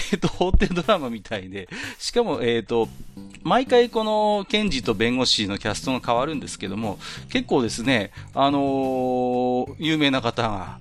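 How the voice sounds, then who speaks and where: A man hosting a podcast, explaining things in a casual but polite conversational monologue. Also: medium pitch. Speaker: podcast host. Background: music under the voice.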